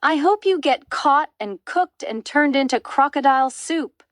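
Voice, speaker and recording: A woman's voice: sits high, lively intonation, measured pace, a bit bright, no echo, clean studio sound, voice pushed up. A woman voicing a friendly, fussy hippopotamus in a storybook, exclaiming emphatically and easily appalled.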